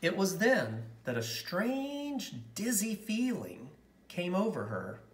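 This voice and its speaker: A man narrating a picture book aloud as a storyteller, with expressive, dramatic and deliberate phrasing. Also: sits high, roomy sound, slight background noise.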